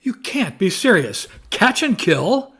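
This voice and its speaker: A man playing an excitable, incredulous newspaper reporter in an audio drama. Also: sits high, slow pace, husky, heavily acted. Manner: exclamatory, incredulous.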